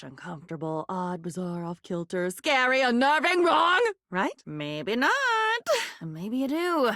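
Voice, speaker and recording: A woman's voice: mid-range voice, fairly bright tone, no room sound, clean studio sound. A woman playing an anxious, insecure living diary in a video game, morbid and erratic, with fragmented, theatrical delivery that veers between muttering and outbursts. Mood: uneasy, brooding.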